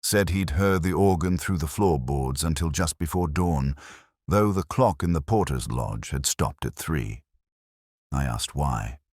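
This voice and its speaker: Very deep-voiced man narrating a mystery. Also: no room sound, clean studio sound. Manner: measured, literary, brooding storytelling. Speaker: narrator of a detective story. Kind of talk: scripted first-person mystery narration.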